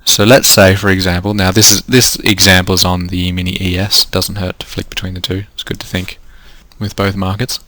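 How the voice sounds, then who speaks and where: Low-voiced Australian man teaching trading. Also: flat delivery, a little fast, hardly any pauses, sharp tone, no echo. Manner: explanatory, walks through numbers step by step. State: losing his voice. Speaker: trading coach. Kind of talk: screen-recorded lesson.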